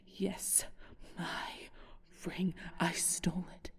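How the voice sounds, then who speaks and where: A woman's voice: fairly low pitch, flat delivery. Woman acting a wounded, whispering vampire seductress. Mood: scornful, vengeful. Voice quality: breathy, husky whisper. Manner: slow, teasing, haughty, with theatrical pauses. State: weakened, wounded, laboured breathing. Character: ancient flirtatious female vampire thief, haughty and vengeful. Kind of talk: whispered ASMR audio roleplay.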